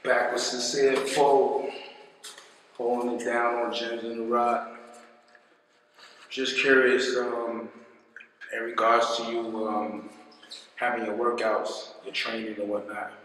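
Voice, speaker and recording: 男主持人，声音在房间里回荡，离麦克风较远. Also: male voice, mid-range voice, flat delivery, slow, pauses often, a bit bright, far from the mic. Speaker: interviewer, show host. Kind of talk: casual documentary-style basketball interview. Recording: echoey room from afar, background noise.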